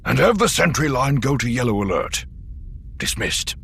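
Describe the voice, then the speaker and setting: A man performs an aging starship captain in a space-opera audiobook. He is gravelly and forceful, with clipped, icy authority and sweeping emphasis. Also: medium pitch, normal speed, a little hiss or hum, pitched above the performer's own voice. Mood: curt command. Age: older. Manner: clipped, regal, icy calm. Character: stern older male Imperial starship captain, icy, commanding.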